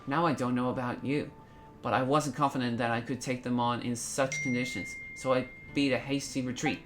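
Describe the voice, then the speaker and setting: A man's voice: medium pitch, little pitch movement, music in the background, close to an everyday voice. Man voicing an earnest game adventurer. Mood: earnest recounting. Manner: formal, deliberate storytelling. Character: earnest male adventurer giving a report. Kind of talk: video game character acting.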